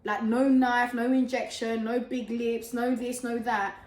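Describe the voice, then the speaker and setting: A young woman from Colombia co-hosting a chat show, loud and hyper, laughing often as she talks. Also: a little high, flat delivery, unhurried, reverberant. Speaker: podcast co-host. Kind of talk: casual chat.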